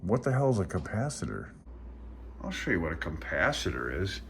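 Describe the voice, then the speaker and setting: A man's voice: low voice, very animated, fairly quick, creaky, fairly bright tone, slight background noise. A man hosting a casual hobbyist vlog, explaining things off the cuff in a rambling, folksy, self-deprecating and unsure way. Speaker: amateur radio hobbyist video host.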